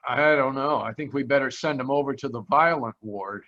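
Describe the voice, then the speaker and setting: A man's voice: medium pitch, a little fast, bright, forceful tone, dull audio, lightly acted. A man playing a brusque asylum orderly in a radio drama. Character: gruff male asylum orderly.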